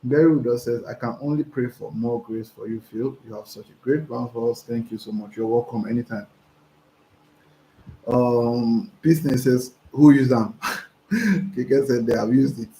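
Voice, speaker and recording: Nigerian guy, kinda hoarse, hyped coach going live, repeats himself a lot, echoey room, bit of background hiss. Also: medium pitch, moderate pace. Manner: excitable, repeats himself, stumbling restarts. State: hoarse from talking. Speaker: online business coach. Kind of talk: livestream chat reading viewer messages. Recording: roomy echo, faint background noise.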